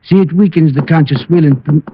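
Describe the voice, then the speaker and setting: A man's voice: fairly high pitch, brisk, breathy edge. A man playing a proper, slightly flustered doctor with precise, formal speech in old radio style. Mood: explanatory. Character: proper, professional male doctor, mildly flustered. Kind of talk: old-time radio drama acting. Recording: muffled old radio recording.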